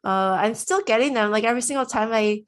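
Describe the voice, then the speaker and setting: A woman in her thirties giving a casual, self-recorded testimonial in a chatty, expressive way, often voicing her own thoughts. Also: a little high, quick pace.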